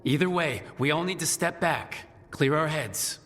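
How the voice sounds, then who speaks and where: An actor playing a pragmatic male team leader in a video game, measured and reassuring. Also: a little high, fairly bright tone. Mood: resolute. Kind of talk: video game dialogue acting. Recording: long hall reverb, music underneath.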